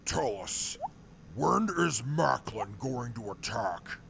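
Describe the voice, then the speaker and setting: Male actor as a blunt no-nonsense soldier, gravelly and deliberate. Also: mid-range voice, lively intonation, fairly bright tone, faint music behind, exaggerated voice. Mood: stern. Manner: stern, blunt.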